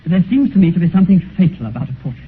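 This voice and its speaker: An actor playing a vain, heartless young British gentleman in a theatrical, earnest, self-absorbed manner for a 1950s radio drama. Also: high voice, very animated, very fast. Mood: defensive, agitated. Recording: muffled 1950s radio recording, faint noise.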